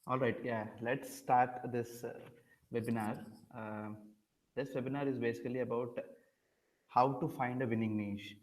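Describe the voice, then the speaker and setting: A man presenting an informal online webinar in a halting, explanatory way. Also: medium pitch, fairly even intonation, rough recording. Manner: halting, repeatedly checking audibility.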